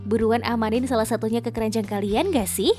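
A woman's voice: fairly high pitch, fairly even intonation, fast. A woman reading a scripted promotional product review voice-over in a chatty, persuasive manner. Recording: music bed under studio voice-over. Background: background music.

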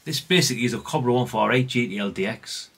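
A man's voice: mid-range voice, fast, vocal fry, breathy edge. A middle-aged British man giving casual, unscripted workbench commentary in a rambling, chatty, plain-spoken way. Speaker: hobbyist radio repair vlogger.

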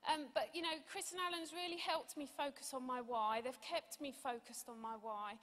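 Woman in her 40s doing a testimonial speech, high voice, echoey auditorium mic. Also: steady tone, normal speed, a bit bright. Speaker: award recipient giving a testimonial. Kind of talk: informal testimonial speech on stage. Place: large auditorium stage. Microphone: handheld microphone. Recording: echoey hall sound over PA.

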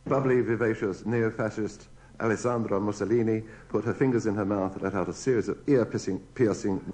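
A man's voice: on the low side, fairly even intonation, brisk, a little mellow, breathy edge, low-quality recording. A man reading the news on the radio as a newsreader.

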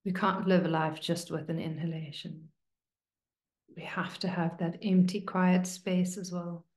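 A woman meditation teacher leading a guided meditation in a hushed, soothing manner, leaving long pauses between short phrases. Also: fairly low pitch, little pitch movement. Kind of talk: guided meditation, slow and spoken to listeners. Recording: roomy, echoing sound.

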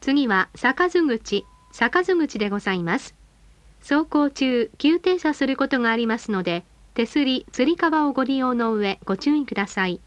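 A woman with a high voice delivers scripted announcements for bus passengers. Her delivery is formal, polite and carefully measured. The sound is dry, with no room echo around her. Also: lively intonation.

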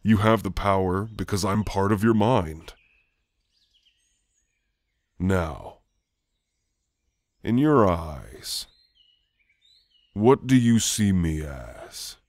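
A low, very slow male voice from a man acting out a role plays a gentle demon of shadow. He speaks softly and soothingly, with hesitant pauses, straight to the listener in an intimate ASMR roleplay. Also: expressive, no echo, heavily acted. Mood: gently coaxing. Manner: soft, halting, soothing.

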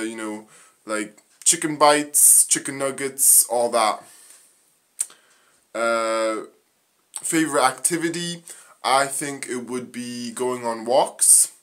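A young man from Northern Ireland in a living room, reading off facts about himself into a phone camera, halting with many ums and uhs. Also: medium pitch, fairly bright tone. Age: young adult. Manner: halting, frequent ums and uhs, slow and plain. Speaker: vlogger. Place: small living room indoors. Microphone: phone camera at arm's length.

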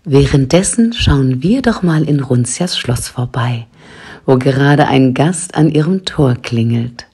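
A woman's voice: extremely low pitch, lively intonation, measured pace, warm tone, slightly rough. A woman narrating a scripted audio story as its storyteller.

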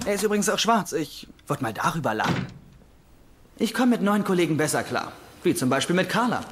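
An actor playing an eager, earnest, naive young doctor in a TV comedy dub. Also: male voice, sits high, sing-song, fairly quick, a bit bright, a little hiss or hum. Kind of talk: German-dubbed TV comedy acting and narration.

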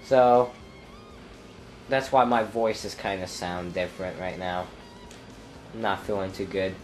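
A young man with a stuffy nose and a clogged throat, commenting casually on his own racing game and thinking aloud with ums and sudden loud exclamations. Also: sits low, steady tone, a little slow, soft background music. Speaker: gameplay commentator. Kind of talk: casual let's-play commentary.

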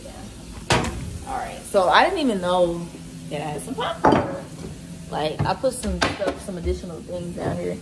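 A woman's voice: lowish voice, big pitch swings, moderate pace. A young woman chatting to viewers as she washes dishes and tidies her apartment kitchen. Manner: chatty, talks to viewers while washing dishes. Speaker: cleaning vlogger. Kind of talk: casual clean-with-me narration. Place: small apartment kitchen, indoors. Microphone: handheld phone camera, recorded from a distance. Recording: echoey kitchen, distant phone mic, loud background noise.

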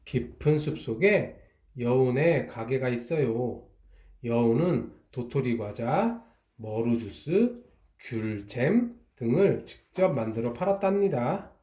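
A father reading a children's storybook aloud, in a slow, gentle, drawn-out way. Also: mid-range voice, expressive. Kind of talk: storybook narration. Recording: echoey room.

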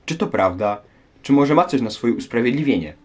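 Male host, average pitch, scripted presenting and questioning for history audio. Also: expressive, brisk, slightly rough.

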